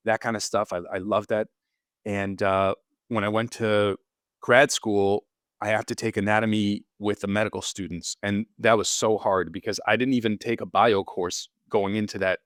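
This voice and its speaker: Low-voiced man, rambling with restarts. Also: no echo, studio quality. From Israel. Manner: rambling, frequent restarts and repeated words. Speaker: podcast guest, surgeon. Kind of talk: casual interview conversation.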